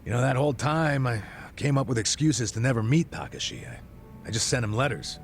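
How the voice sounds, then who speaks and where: An actor voicing a blustering, slangy middle-aged ex-cop who bluffs as a rich benefactor in a video game. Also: male voice, mid-range voice, lively intonation, brisk, soft background music, lightly acted. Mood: casual, pleading. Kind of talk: video game cutscene dialogue.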